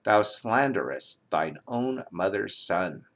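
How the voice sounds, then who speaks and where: Low-voiced man reading scripture solemnly. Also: very animated, a bit bright. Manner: declamatory, emphatic, measured phrasing. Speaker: scripture reader. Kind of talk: solemn dramatic Bible reading aloud.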